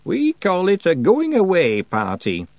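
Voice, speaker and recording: A man voicing a very old witch, a calm and deliberate woman who is imperious and dryly matter-of-fact. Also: sits high, no room sound, voice pushed up, full character voice. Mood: calm, businesslike.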